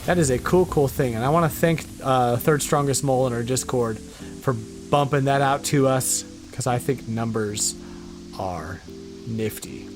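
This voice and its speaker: A man speaks as a podcast host in a middling pitch. His delivery is casual and informal, like chat among hobbyists. He tends to halt and repeat words as he goes. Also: measured pace, faint music behind. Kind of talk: casual chat about retro game news.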